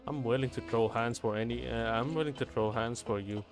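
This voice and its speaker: A man's voice: mid-range voice, fairly quick, creaky. Rambling male game streamer over faint music. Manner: rambling, stammering, sweary. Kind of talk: casual live gameplay commentary.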